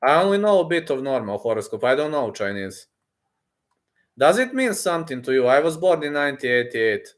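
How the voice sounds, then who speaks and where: A man in his thirties in casual unscripted livestream chat, halting and repeating himself as he thinks aloud while answering viewers. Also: mid-range voice, sing-song. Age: 30s.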